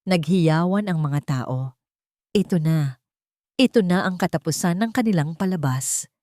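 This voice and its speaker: A woman's voice: fairly low pitch, animated, measured pace, warm tone, no echo, clean studio sound. A woman narrating a dramatic first-person audiobook story, reading from a script.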